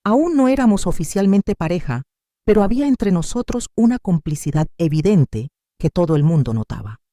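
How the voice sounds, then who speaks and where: Narrator, sex ambiguous, mid-pitched and deeply mellow; measured, sentimental first-person storytelling. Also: big pitch swings, dry recording, clean studio sound.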